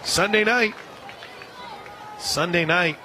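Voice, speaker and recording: An American man calling live softball play-by-play on the radio, slipping into conversational asides between pitches. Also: slow. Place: outdoor softball stadium. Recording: noisy outdoor stadium broadcast, loud crowd. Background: crowd and stadium noise.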